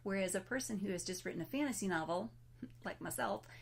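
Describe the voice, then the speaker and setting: A woman author giving a casual recorded presentation while losing her voice, talking in a chatty, self-deprecating way, some words coming out breathy and whispered. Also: mid-range voice, animated, fast talker.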